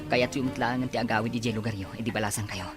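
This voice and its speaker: A man's voice: fairly low pitch, steady tone, fast, over loud music, lightly acted. A man with a Filipino accent playing a vengeful grown son in a radio drama. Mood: tender, earnest. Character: vengeful grown son confronting his father's killer.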